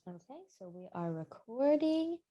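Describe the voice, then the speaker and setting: A woman's voice: on the high side, sing-song, unhurried, dull audio. An American woman hosting a podcast, reading an introduction and then chatting with her guest in an upbeat way. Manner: upbeat, reads lists of names then chats. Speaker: podcast host.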